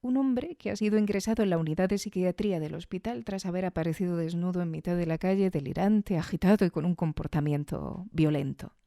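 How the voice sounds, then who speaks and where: A woman's voice: on the low side, brisk, hardly any pauses, warm tone, no room sound, studio quality. A woman with an accent from Spain hosting a podcast in a conversational way, with chatty asides and tag questions. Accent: Spanish from Spain. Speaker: podcast host. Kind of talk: casual scripted podcast review.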